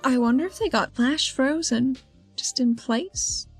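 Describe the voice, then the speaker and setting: A woman's voice: high-pitched, unhurried, breathy edge, faint music behind. A woman playing a tabletop roleplaying game, chatting casually and expressively with a playful way of talking.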